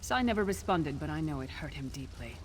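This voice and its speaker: An earnest, loyal female warrior from a fantasy game. She speaks somberly and with measure in a low voice, with clear noise behind her. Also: animated, a little fast. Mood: regretful. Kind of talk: video game voice acting, story dialogue.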